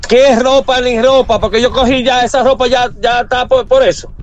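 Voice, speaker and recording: A man's voice: extremely high pitch, steady tone, normal speed. A man with a Dominican accent arguing casually on the phone, blunt and defiant. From Dominican Republic. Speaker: prank call target, morgue worker.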